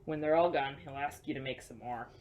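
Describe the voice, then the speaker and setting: A woman reading a picture book to children as a storyteller, expressive, with chatty, simple asides to young listeners. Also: rumbling low, brisk, a little hiss or hum.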